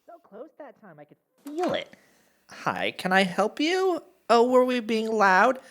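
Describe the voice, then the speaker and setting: A woman's voice: on the high side, big pitch swings, measured pace, a bit bright, exaggerated voice. A woman playing a nervous, defensive teenage would-be demon summoner in an audio drama. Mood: flustered. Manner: flustered, defensive.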